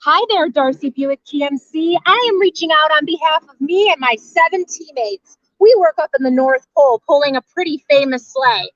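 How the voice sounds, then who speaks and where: A worn-out but sassy reindeer played by a woman makes a chatty plea in a comic radio spot. The voice is high and bright. The audio is dry and a little muffled. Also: normal speed, dry recording. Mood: pleading, cheeky. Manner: pleading, chatty, reading out a letter. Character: exhausted, sassy sleigh-pulling reindeer writing a letter.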